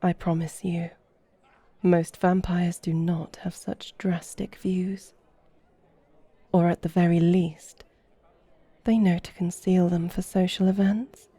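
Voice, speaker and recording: Actress as elegant female vampire seductress for ASMR roleplay; dark mellow tone, unhurried, teasing. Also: fairly low pitch, level tone, no room sound. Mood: warm, apologetic. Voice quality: soft, breathy. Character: flirtatious, courtly female vampire guiding a guest.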